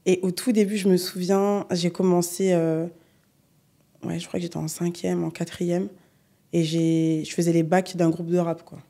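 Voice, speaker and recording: A young adult woman singer from the Paris region, chatting in an unhurried way as a podcast guest, with frequent fillers. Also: on the low side. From Paris region, France. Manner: relaxed, unhurried, many fillers. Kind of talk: casual interview chat.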